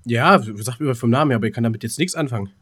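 A man's voice: mid-range voice, racing, fairly bright tone, breathy edge. A man co-hosting a podcast in casual, colloquial chat, repeating words as he thinks aloud. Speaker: podcast co-host.

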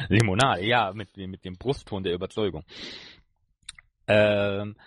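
A man co-hosting a podcast in casual chat about an audio drama, talking haltingly as he thinks aloud. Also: fairly low pitch, steady tone, fairly bright tone. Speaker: podcast co-host.

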